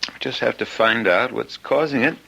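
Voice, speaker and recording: An actor playing a brusque, world-weary hospital chief of staff in a radio drama, clipped and wry. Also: male voice, medium pitch, moderate pace, gravelly, sharp tone, slightly rough, full character voice, rough recording. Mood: businesslike.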